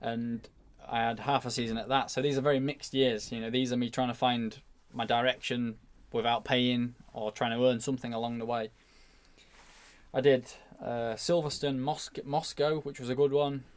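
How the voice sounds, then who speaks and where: A man's voice: mid-range voice, little pitch movement, normal speed. A man speaking as a podcast guest and motorcycle racer, telling anecdotes in a casual, informal way.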